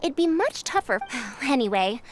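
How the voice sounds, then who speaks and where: A woman voicing a bright, analytical young researcher and mechanic in a video game. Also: on the high side, very animated, moderate pace, background noise. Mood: thoughtful, earnest. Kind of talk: scripted game voice acting.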